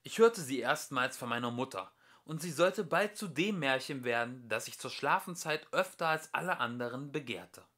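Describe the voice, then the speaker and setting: A man reading a storybook aloud as an amateur narrator, with expressive, emphatic delivery. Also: medium pitch, normal speed. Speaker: amateur audiobook reader.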